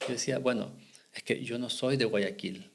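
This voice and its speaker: A middle-aged man from Ecuador talks about his mission work as a podcast guest, in an earnest and reflective way. His voice sits at an ordinary middle pitch for a man, and he pauses to restart a phrase now and then. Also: vocal fry, a little hiss or hum. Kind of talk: podcast interview, faith testimony.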